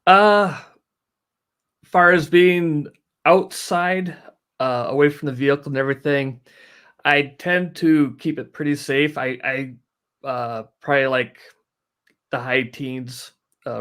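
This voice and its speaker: A man's voice: medium pitch, fairly bright tone, clear, resonant tone. A man giving casual, practical explanations as a guest, in a matter-of-fact, step-by-step way. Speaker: guest, hobbyist sharing practical tips.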